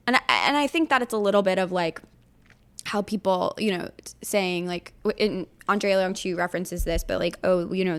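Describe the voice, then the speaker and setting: A woman with a noticeably creaky voice talks as a podcast co-host. Her delivery is casual and halting, with "like" sprinkled through her sentences. She sounds like someone thinking aloud in conversation. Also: lowish voice. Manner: halting, heavy use of "like". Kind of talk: casual conversational political chat.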